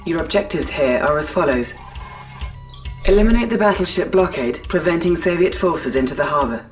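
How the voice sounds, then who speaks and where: A woman's voice: on the low side, expressive, normal speed, natural voice. A woman playing a composed, impersonal military announcer in a video game, clipped and formal. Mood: formal briefing. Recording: distant, under loud music.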